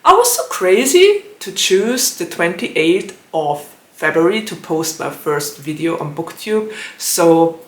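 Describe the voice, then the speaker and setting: A woman with a slightly raspy, hoarse voice, chatting casually about books in her vlog and giggling often between phrases. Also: on the low side, big pitch swings, slow. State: hoarse from allergies. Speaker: book vlogger. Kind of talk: casual book vlog chat. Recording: echoey room.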